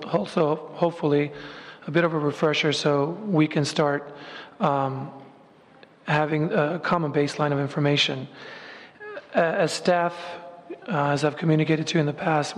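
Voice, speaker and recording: A man opens and steers a formal group meeting. He talks in a fairly high, level voice, measured and full of small ums. His words ring out with the long reverb of a large meeting room. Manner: measured, filler-heavy, steady delivery. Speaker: meeting facilitator.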